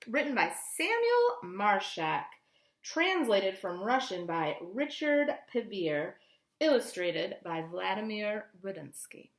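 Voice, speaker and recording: A woman reading a picture book aloud like a teacher, with expressive, deliberate storytelling and simple explanations for children. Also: medium pitch, echoey room.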